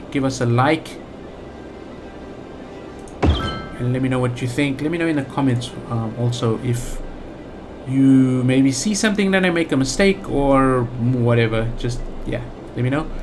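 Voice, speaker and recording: A man's voice: medium pitch, a little slow, over music. A man with a South African accent giving casual let's play commentary, thinking aloud with lots of fillers and restarts. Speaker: gaming channel host.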